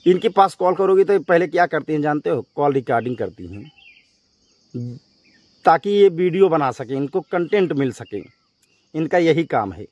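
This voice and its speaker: A male vlogger with a fairly high voice delivers a confrontational rant straight to his viewers. He scolds with emphasis and repeats his phrases. The sound is dry, with very little room on it. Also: big pitch swings, normal speed, darkish tone, dry recording, lo-fi sound. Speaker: YouTube vlogger addressing viewers.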